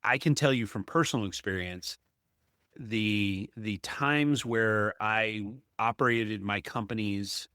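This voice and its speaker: Low-voiced man hosting a business interview. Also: pushed and bright, dry recording, studio quality. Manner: emphatic, anecdotal, frequent rhetorical "right?". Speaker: podcast host, apartment investor.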